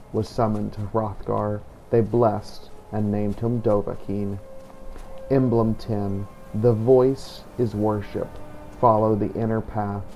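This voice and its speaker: A man reads aloud for a game playthrough in a low, mellow voice. His pace is slow and solemn, with pauses, and his pitch stays fairly even. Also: gravelly, low-quality recording. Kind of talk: role-play read-aloud in a game playthrough.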